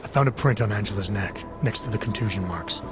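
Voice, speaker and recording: A man plays a terse game investigator who sets things out methodically. His voice sits low and moves briskly, clipped and matter-of-fact. Music is clearly audible behind him. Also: natural voice. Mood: businesslike, probing. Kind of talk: video game cutscene dialogue.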